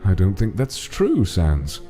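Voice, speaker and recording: Male actor as giant monster king, extremely low, very dark and mellow, solemn, fatherly, formal comic-dub delivery. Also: huge pitch swings, soft background music, full character voice. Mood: grave encouragement. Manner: formal, measured, caring.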